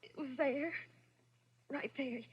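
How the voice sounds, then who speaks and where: A high, anxious voice on a dull vintage radio recording: a devoted young woman in love with a fugitive. She pleads emotionally, in full 1940s melodrama style. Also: takes it slowly. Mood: frightened, pleading.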